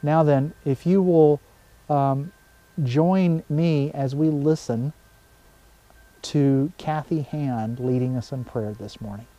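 Very mellow-voiced middle-aged man. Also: a little high, slow, muffled recording. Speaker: church service leader. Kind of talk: informal worship welcome. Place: outdoors in a wooded backyard.

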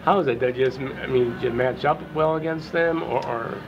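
A man's voice: medium pitch, brisk. A man asking casual postgame questions as a sports reporter. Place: indoor arena media area. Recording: dull, noisy off-camera audio.

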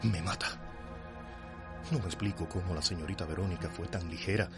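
An actor playing an anguished man of conscience, thinking aloud with dramatic pauses in a radio soap opera. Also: low voice, fairly even intonation, close to an everyday voice. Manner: dramatic inner monologue with pauses. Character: anguished man of conscience forced to obey a cruel master. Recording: loud music behind the voice.

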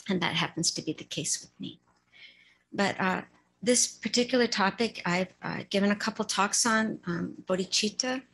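Soft spoken middle aged woman, breathy, lots of ums, talking to a meditation group. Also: mid-range voice, poor audio. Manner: halting, with many fillers and restarts. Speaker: sangha member giving a dharma talk. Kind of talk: informal dharma talk. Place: meditation hall shrine room, indoors. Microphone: stand mic in front of her.

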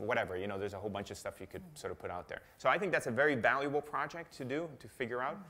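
A man who grew up in Italy, with a lowish voice, answers audience questions in a conference room. He talks in a lively way and acts out quoted speech in changing voices. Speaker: guest speaker.

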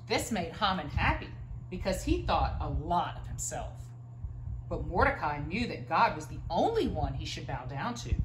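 A woman in her thirties reads a children's Bible story with animated, swooping intonation. Her voice sits a little low and she stresses key words. The room is echoey, with faint noise behind her. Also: normal speed. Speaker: story reader. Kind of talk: expressive children's Bible storybook read-aloud. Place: living room, indoors, by a stone fireplace. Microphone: camera a few feet away.

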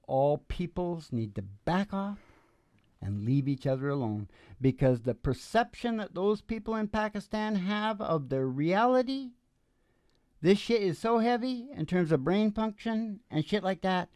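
A man's voice: high voice, sing-song, a little slow, a little mellow, clean tone, dry recording. An older man hosting from a stage, chatting casually in a colloquial, emphatic, rambling and opinionated way into a vocal microphone. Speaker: musician and show host. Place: small indoor stage. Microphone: close stage vocal microphone.

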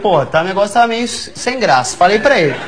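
High-pitched stuttering Brazilian man, loud crowd. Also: sing-song. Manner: halting, repeats words and phrases. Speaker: talk show guest, local politician. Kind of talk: comic anecdote in a talk show interview. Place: large TV studio with audience. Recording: lo-fi TV studio tape, loud audience noise. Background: loud audience laughter.

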